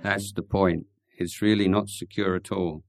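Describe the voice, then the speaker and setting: A man, a Buddhist monk, answering audience questions in an informal talk, hesitant with many pauses and restarts. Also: low-pitched, little pitch movement, creaky, dry recording.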